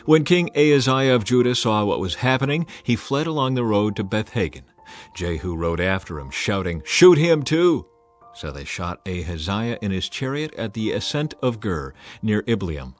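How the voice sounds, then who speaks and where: A man's voice: animated, normal speed. A man reading a dramatized Bible passage as narrator, lifting his voice to act out the quoted speakers. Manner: acts out quoted speakers by raising pitch and volume. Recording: soft music bed behind the voice.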